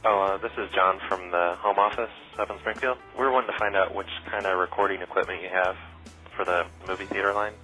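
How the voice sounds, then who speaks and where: A man's voice: sits low, steady tone, fairly quick, bright, forceful tone, faint music behind. A man playing a casual, businesslike head-office employee in a prank phone call.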